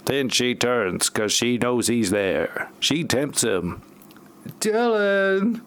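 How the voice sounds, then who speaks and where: A man's voice: mid-range voice, slow pace, fairly bright tone. A man narrating a parody audio play from a script, with wry asides.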